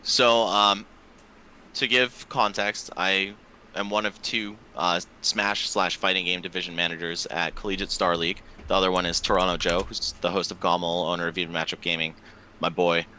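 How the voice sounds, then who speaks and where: A young man in his twenties with a very low voice and a bright, forceful edge. He talks loosely and at length as a podcast guest, scattering fillers and the odd curse, over a faint ambient hiss. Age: 20s. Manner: loose and talkative, heavy use of filler words and swearing. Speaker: podcast guest, esports tournament organizer. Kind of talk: casual podcast chat.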